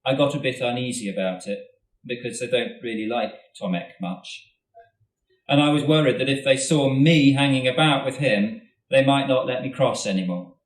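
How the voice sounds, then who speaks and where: A man, an author, reading his own short story aloud at a festival event in a steady, measured way, recorded in a hall from a distance. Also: medium pitch. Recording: roomy hall, mic at a distance.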